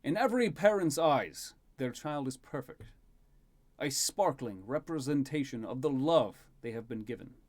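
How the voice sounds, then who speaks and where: A man's voice: mid-range voice, lively intonation, moderate pace, a little mellow, pitched above the performer's own voice. A man voicing a proud, loving Goliath king in a stately, formal speechmaking manner for a fantasy story. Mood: tender pride.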